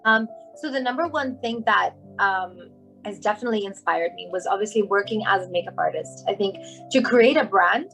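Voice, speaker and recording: A woman's voice: medium pitch, normal speed, vocal fry, fairly bright tone, soft background music, low-quality recording. Chatty young woman from Hong Kong. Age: young adult. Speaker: guest, makeup artist and brand founder. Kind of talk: casual interview chat.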